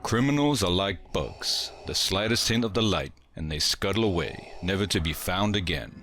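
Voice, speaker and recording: A man's voice: sits low, lively intonation, normal speed, fairly bright tone. A man playing a shrewd, self-assured imperial inspector in a comic dub, precise and formal. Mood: grave, calculating. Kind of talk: dramatic audio comic dub.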